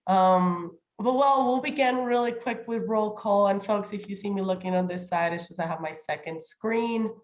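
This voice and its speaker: A woman's voice: mid-range voice, normal speed, few pauses. A woman chairing an online committee meeting in a formal, welcoming role, slightly nasal as she gets over a cold. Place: remote video call.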